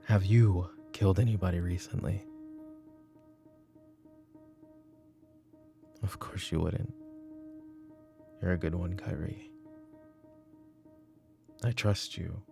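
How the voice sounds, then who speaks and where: A man playing a weary, brooding middle-aged mafia boss and father in a roleplay audio drama, speaking in a halting, intimate way. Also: steady tone, warm tone, soft background music. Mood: guarded, probing. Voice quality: gravelly, low. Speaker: voice actor.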